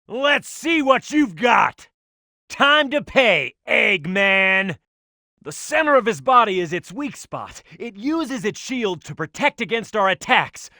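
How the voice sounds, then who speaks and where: A man's voice: high-pitched, pushed and bright, dry recording, studio quality, exaggerated voice. A man voicing a tough, hot-headed echidna brawler in a video game, confident and brash, with punchy, emphatic delivery. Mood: fired up, then urgent, instructive. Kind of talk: video game voice acting, tutorial hints and battle shouts.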